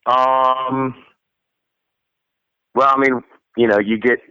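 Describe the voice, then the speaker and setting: A man's voice: mid-range voice, fairly even intonation, slow pace, bright, forceful tone, clear, resonant tone, dry recording, lo-fi sound. A man from Florida, a singer, answering interview questions in a loose, chatty way and laughing often as he talks. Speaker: guest singer.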